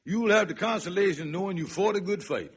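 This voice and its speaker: A man playing a scheming, henpecked husband in old-time radio comedy, with a raspy voice and excitable, wheedling, folksy ungrammatical speech. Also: high-pitched, brisk. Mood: breezy scheming. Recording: vintage radio broadcast recording.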